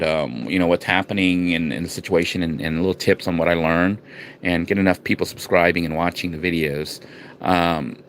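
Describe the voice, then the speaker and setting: Low-voiced man from the US, casual rambling vlogger, restarts a lot, faint hiss behind. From United States. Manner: rambling, with frequent restarts and fillers; swings from low murmurs to sudden loud emphatic bursts. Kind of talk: casual vlog talk to camera.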